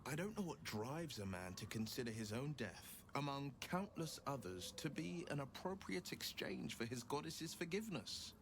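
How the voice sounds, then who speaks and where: A man playing a loyal, earnest, gallant hero with measured speech in a video game. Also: mid-range voice, very animated. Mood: earnest, disapproving. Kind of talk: video game character acting. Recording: clear ambient noise behind the voice.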